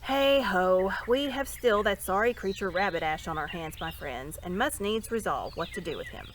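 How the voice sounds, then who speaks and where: A woman's voice: medium pitch, lively intonation, a little hiss or hum, lightly acted. A woman voicing a dignified, hearty king in a storybook, speaking in a formal, courteous and firm way. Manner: stately, formal, measured proclamation.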